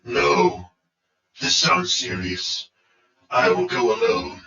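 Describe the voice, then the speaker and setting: A man's voice: deep voice, takes it slowly, vocal fry, sharp tone, pitched below the performer's own voice, heavily acted. A man voicing an evil, bitter robot warlord in an audio drama, with a commanding, brooding, theatrical delivery. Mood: stern. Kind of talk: fan audio drama acting. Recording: echoey, muffled, distant from the mic.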